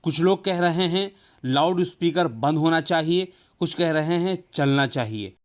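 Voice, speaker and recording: Guy doing a tech tutorial for his viewers, voice a bit on the high side. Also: normal speed. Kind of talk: explainer video addressed to viewers.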